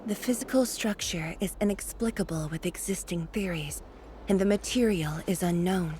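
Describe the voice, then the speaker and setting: An actress voicing a solemn, weary woman of authority in a video game, grave and deliberate, hushed at times. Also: fairly low pitch, a little hiss or hum, lightly acted. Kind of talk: dramatic video game voice acting.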